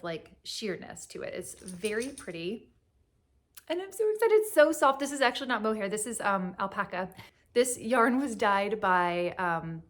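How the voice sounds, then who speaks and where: A woman's voice: medium pitch, fairly bright tone. A young woman with a cold and a sniffly voice, rambling in a casual chat as host of a knitting podcast, with plenty of fillers. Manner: rambling, chatty, with frequent fillers and laughs.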